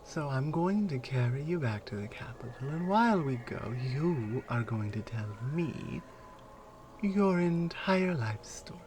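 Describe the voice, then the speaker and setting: A woman's voice: sits low, animated, unhurried, a little mellow, a little hiss or hum. A woman playing a big, strong Amazonian warrior in an ASMR roleplay, commanding and amused, with a teasing, condescending, self-assured way of talking. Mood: firm, curious.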